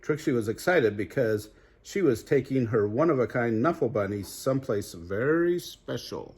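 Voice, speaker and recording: An older man reads a children's story aloud in a grandfatherly way, pausing to put chatty questions to young listeners. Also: medium pitch, expressive, a little mellow, husky.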